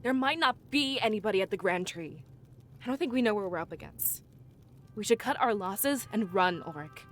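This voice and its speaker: A woman's voice: medium pitch, expressive, normal speed, soft background music, close to an everyday voice. A woman playing a doubtful, urgent female adventurer in a fantasy video game. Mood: insistent.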